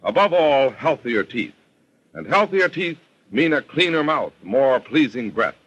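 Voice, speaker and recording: A man's voice: medium pitch, sing-song, a little slow, a bit bright, slightly rough, highs cut off. An American man delivering a 1940s radio commercial as an announcer, emphatic and salesmanlike.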